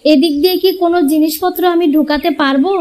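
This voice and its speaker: A very high-voiced young village wife, acted by a woman for a moral-story cartoon. She is outspoken and argumentative, pressing her points with sharp questions. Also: fairly even intonation, brisk. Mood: exasperated.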